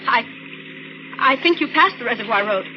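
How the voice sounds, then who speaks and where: A woman's voice: fairly high pitch, expressive, moderate pace, natural voice. An actress playing an anxious, pleading woman in an old radio thriller, hesitant and melodramatic. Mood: nervous. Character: anxious, frightened, pleading female stranded passenger. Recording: very noisy, muffled vintage radio recording.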